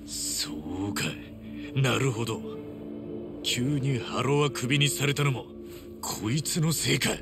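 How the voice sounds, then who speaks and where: A man's voice: medium pitch, slow, over loud music. An actor playing a blunt, hot-headed but honest middle-aged ex-yakuza man in a video game drama. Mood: brooding. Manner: blunt, rough street speech.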